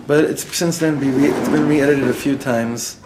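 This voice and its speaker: A man's voice: medium pitch, fairly even intonation, moderate pace, noisy background. A man, a rabbi, teaching a live class to an audience in the room, mixing Hebrew and Yiddish words into his talk and putting questions to his listeners. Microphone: recorded for web students.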